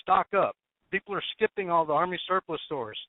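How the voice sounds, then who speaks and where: A man's voice: high voice, lively intonation, fairly quick, dry recording. A man calling in as a truck driver, giving blunt, emphatic advice in clipped phrases. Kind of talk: unscripted advice on a conference call. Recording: dry, narrow telephone line.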